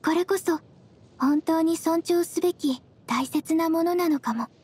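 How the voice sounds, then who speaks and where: A woman playing a composed, quietly teasing young female traveler in an anime game, speaking politely and matter-of-factly. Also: extremely high pitch, unhurried. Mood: gentle, reflective.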